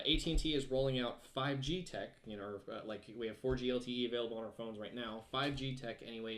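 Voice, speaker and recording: A man co-hosting a casual discussion, explaining technical details in an informal way. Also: mid-range voice. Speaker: podcast co-host. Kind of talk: casual explanatory discussion of gaming and tech news.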